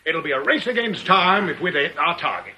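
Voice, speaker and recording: Brisk, forceful gang second-in-command. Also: male voice, a little high, lively intonation. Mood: brisk, instructing. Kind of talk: radio drama acting.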